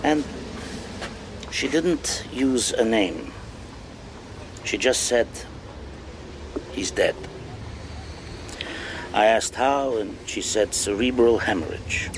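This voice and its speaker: An actor playing a middle-aged professor in a radio drama, telling stories in a wry, deliberate, theatrical way. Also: male voice, lowish voice, noisy background. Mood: solemn. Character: wry, donnish male history professor, older mentor.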